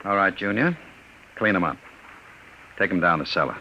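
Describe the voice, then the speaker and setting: An actor playing a cold, sneering gang boss in a 1950s radio drama, menacing and mocking. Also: male voice, low voice, sing-song, normal speed, muffled recording, exaggerated voice.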